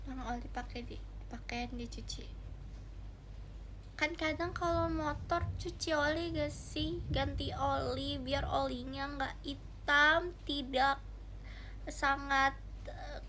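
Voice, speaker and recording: A young woman with a high, bright voice talks casually to her livestream viewers. She is chatty and giggly, speaking straight to the audience, with some noise in the background. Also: fairly even intonation, slow, poor audio. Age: young adult. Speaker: idol livestreamer.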